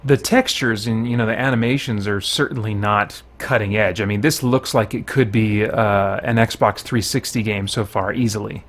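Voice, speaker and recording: A man's voice: on the low side, fairly even intonation, normal speed, hardly any pauses. A man giving casual, candid first-impressions commentary on a video game, thinking aloud as he plays.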